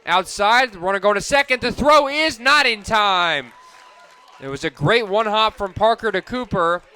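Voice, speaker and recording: A man with a fairly high, bright voice calls softball play-by-play for radio. His delivery is crisp and clean, in the style of live sports commentary.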